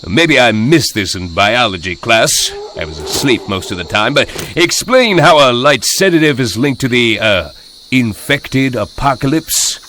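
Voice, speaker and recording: An actor playing a commanding, sarcastic soldier who leads a squad in an animated action game. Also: male voice, medium pitch, measured pace, slight background noise. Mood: sardonic. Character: gruff wisecracking male soldier squad leader. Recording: loud outdoor ambience and effects behind.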